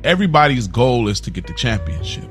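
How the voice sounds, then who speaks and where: A man co-hosting a casual sports debate podcast, talking in an animated, slangy way and rebutting with repeated denials. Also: mid-range voice, fast, soft background music. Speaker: podcast co-host.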